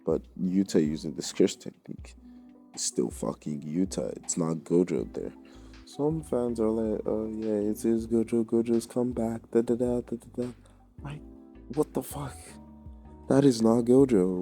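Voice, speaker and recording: A man's voice: on the low side, measured pace, warm tone, soft background music. A man giving a casual, sweary opinion rant as a video creator, slangy and exasperated in his delivery. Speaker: anime commentary video creator.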